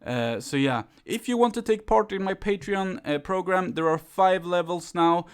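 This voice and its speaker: A man's voice: high-pitched, moderate pace. A man in his twenties with a Swedish accent, chatting casually in a vlog recorded on a phone at home, with many fillers and restarts. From Sweden. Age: 20s. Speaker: YouTuber. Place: home room. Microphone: phone camera.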